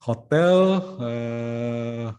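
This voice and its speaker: Mellow-voiced Indonesian man organizing an event. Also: mid-range voice, drawn-out words, smooth. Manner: explaining logistics step by step, frequent "nah" and "ya". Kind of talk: planning meeting talk.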